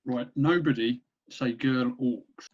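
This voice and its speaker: A man's voice: medium pitch, slow, muffled recording. A man playing a blunt, exasperated orc army captain with a matey, bossy manner in a fantasy comedy read. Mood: wary.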